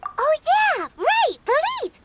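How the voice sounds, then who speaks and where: Tiny floating fairy, very high childlike voice, slow animated delivery, bubbly, curious, video game. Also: female voice, very noisy, exaggerated voice. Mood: curious, thoughtful. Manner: exclaiming, naive, refers to self by name.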